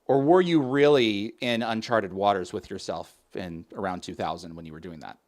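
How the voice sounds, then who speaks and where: Guy hosting an interview, kinda low voice, earnest and wordy. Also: moderate pace, darkish tone. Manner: earnest, expansive, asks long winding questions. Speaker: interview host.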